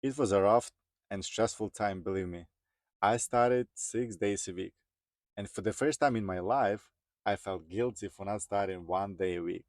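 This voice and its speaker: A man's voice: lowish voice, normal speed, a little mellow, no room sound, clean studio sound. A man in his thirties from Ukraine with a Ukrainian accent, telling his personal story to viewers as a vlogger. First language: Ukrainian or other non-English. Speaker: vlogger, truck driver.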